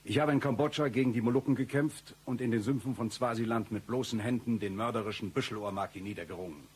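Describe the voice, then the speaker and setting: An actor playing a boastful, menacing mercenary and former lecturer in a satirical radio play, with a pompous, theatrical delivery. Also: male voice, medium pitch, fast, slightly rough, lightly acted.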